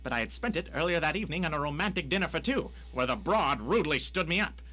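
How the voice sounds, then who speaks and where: A man's voice: fairly high pitch, expressive, fast, bright, forceful tone. A man playing a wisecracking, hapless private detective in a noir radio drama, theatrical and full of old-timey slang. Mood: wry narrating. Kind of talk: hard-boiled noir radio drama narration.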